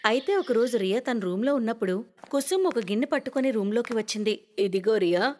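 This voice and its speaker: A woman with a fairly high voice narrates a scripted moral tale. She, and her voice carries a long reverb. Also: fast talker, darkish tone, slight background noise. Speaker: story narrator. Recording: long reverberant hall echo.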